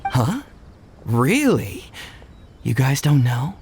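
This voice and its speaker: A man's voice: medium pitch, huge pitch swings, drawn-out words, darkish tone, slight background noise, exaggerated voice. A man playing a brash, carefree young schemer in a video game, with a breezy, salesmanlike delivery. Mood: eager persuading. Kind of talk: English video game voice acting. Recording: game capture with faint background ambience.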